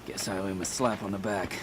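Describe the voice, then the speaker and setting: An actor playing a defiant, wisecracking captive in a video game, with a sardonic manner. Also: male voice, deep voice, noisy background, lightly acted.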